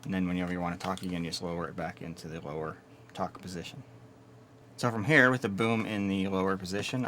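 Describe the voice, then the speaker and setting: Low-voiced man demoing gear. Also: slight background noise. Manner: steady, methodical explaining with small restarts. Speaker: product reviewer. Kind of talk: hands-on gear walkthrough.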